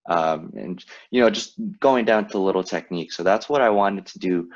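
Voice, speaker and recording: Deep-voiced laid-back man, slightly muffled. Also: moderate pace. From Vietnam, raised in California. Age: late 20s. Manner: laid-back, laughs often, lots of fillers. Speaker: podcast co-host. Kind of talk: casual chat. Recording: dull lo-fi sound.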